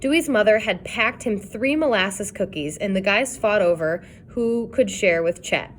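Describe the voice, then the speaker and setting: A woman reading a children's chapter book aloud in an expressive storytelling style. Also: medium pitch, moderate pace, a little hiss or hum. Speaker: reader.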